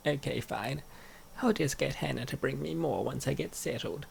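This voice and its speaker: A man's voice: mid-range voice, moderate pace, vocal fry, slight background noise. A man performing an acted reading of comic dialogue, voicing the characters as a dub actor.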